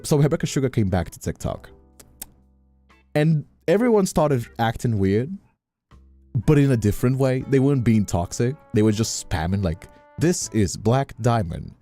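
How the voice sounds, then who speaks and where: A man chatting casually on a livestream, emphatic and animated, often repeating himself as he makes a point. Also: low voice. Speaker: streamer. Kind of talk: casual conversational chat on a livestream.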